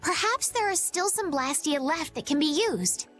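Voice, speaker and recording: A woman playing a polite, bookish young noblewoman in a video game, speaking in an earnest, gentle and formal way. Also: high voice, lively intonation, moderate pace, soft background music. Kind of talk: English video game voice acting.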